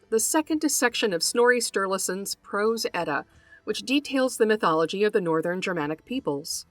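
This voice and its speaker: A woman hosting a podcast, reading a scripted narration in a measured, carefully enunciated way. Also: mid-range voice, no echo. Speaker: podcast host. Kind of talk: scripted narration, introducing a guest.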